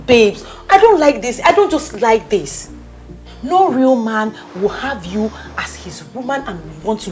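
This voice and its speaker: A woman's voice: a little high, darkish tone. Woman playing a pushy, caring Nigerian friend. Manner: coaxing, emphatic, pleading. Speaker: consoling friend.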